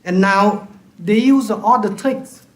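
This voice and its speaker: Vietnamese priest guy, pretty high voice, formal talk, roomy hall sound. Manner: slow and deliberate, formal. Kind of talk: prepared conference speech. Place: large hotel conference hall. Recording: echoey hall.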